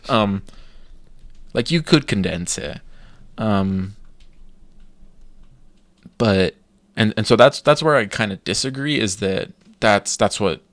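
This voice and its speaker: A man co-hosting a podcast in casual chat, giving opinions with halting restarts. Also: on the low side. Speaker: podcast co-host.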